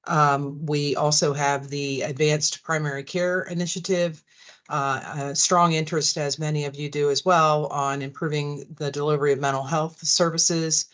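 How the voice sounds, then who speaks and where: A woman executive director giving polite, somewhat halting welcome remarks on a business conference call. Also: deep voice, steady tone, fairly bright tone.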